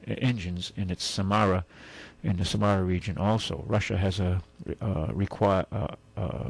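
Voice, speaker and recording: Male radio host, deep and gravelly, husky tone, rambling with lots of fillers. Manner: rambling, many fillers and restarts. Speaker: radio car show host. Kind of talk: casual radio talk.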